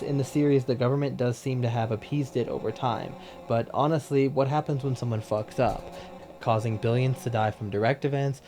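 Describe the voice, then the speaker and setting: A man's voice: mid-range voice, darkish tone. A young man giving a scripted countdown commentary in a casual, blunt way, swearing now and then for emphasis. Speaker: YouTube commentator.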